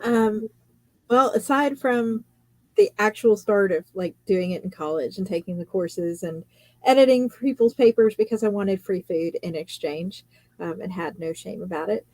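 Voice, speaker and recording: A woman, a fantasy author and editor, telling her story in a casual live chat, chatty and quick to laugh, often quoting what others said. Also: mid-range voice, darkish tone, dry recording, low-quality recording.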